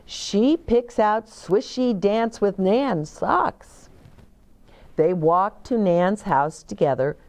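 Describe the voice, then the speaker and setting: Slow, expressive older woman storyteller. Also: medium pitch. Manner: deliberate, simple explanations as to small children. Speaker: children's storytime reader and host. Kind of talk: expressive picture-book read-aloud with asides to young children. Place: indoor bookshop-like set with shelves, seated in armchair.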